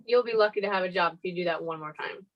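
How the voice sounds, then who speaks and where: A woman's voice: lowish voice, rapid-fire, dull audio, natural voice. A woman playing a stern, self-assured, blunt manager on a conference call in a comedy web series.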